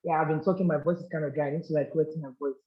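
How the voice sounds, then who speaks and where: A man's voice: fairly high pitch, fairly even intonation, racing. A man giving a business leadership training, earnest and coaching, often checking in with "all right?". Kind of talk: live training presentation to a group. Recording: roomy, muffled lo-fi recording.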